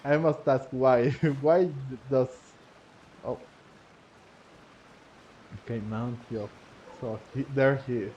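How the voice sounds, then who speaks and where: A man's voice: mid-range voice, slow, pauses often, a little mellow, slight background noise. A man giving casual, rambling, hesitant commentary as he plays through a game. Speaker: gaming video host. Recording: dull, lo-fi microphone sound. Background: faint game music.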